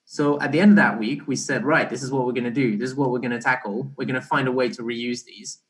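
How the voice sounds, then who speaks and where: Young man, halting interview guest, medium pitch. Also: steady tone. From Belgian-born, partly raised in Barbados. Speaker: interview guest, startup co-founder. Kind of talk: casual explanatory interview.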